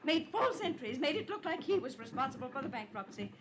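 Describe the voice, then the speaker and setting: A woman's voice: sits high, sing-song, quick pace. An actress playing a hysterical, vengeful woman in a melodramatic, accusing manner in a 1950s radio drama. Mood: bitter, accusing. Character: hysterical, vengeful woman holding a man at gunpoint. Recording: noisy old-time radio recording.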